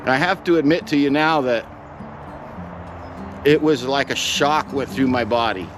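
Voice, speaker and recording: Middle aged guy with a medium pitched voice, folksy and sincere, telling a personal story, music behind him. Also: measured pace. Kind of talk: reflective, personal storytelling to camera.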